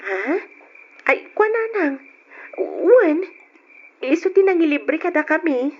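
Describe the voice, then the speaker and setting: A woman's voice: extremely high pitch, sing-song, takes it slowly, exaggerated voice. A woman with a Filipino Ilocano accent playing an evasive, coy married young woman in an old-time radio drama, hesitating and stammering. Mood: evasive, flustered. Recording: dull, noisy old radio recording.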